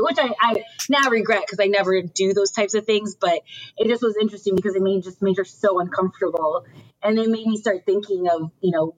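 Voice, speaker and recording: A woman's voice: mid-range voice, a bit bright, highs cut off, slight background noise. A woman from Ohio in casual conversation, telling personal stories with animation and acting out the people she quotes. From Ohio, USA.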